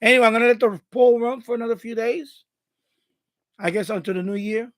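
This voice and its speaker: A man's voice: extremely high pitch, a little fast, fairly bright tone, no echo, clean studio sound. A man hosting a hobby live stream, chatting casually in a rambling, repetitive way. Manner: rambling, repeats himself.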